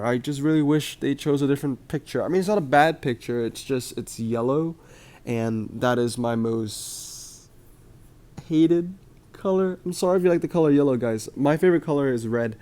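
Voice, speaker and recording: A man's voice: medium pitch, dark tone. A young man hosting casual gaming commentary to his viewers. He is chatty and upbeat, uses frequent "uh" and "um", and his voice is slightly off from a cold. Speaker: mobile game video host.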